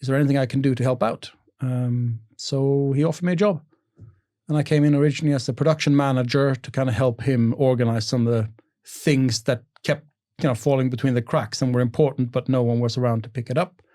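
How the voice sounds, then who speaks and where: A man's voice: mid-range voice, fairly even intonation, fast talker, dark tone. Enthusiastic man telling his story. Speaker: podcast guest. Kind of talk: casual interview chat.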